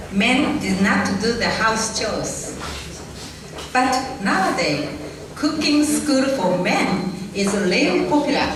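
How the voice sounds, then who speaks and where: Slow, hesitant woman in an echoey hall. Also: medium pitch, distant mic, noisy background. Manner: hesitant, halting phrasing with fillers. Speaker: event speaker and moderator. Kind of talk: slow speech in non-native English.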